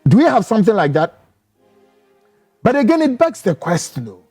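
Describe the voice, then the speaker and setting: A man with a Ghanaian accent delivers emphatic, indignant commentary in a high voice that swings widely in pitch. He fires off rhetorical questions like a TV pundit, with faint music underneath. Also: sing-song, moderate pace, darkish tone, husky. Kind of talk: opinionated commentary to camera.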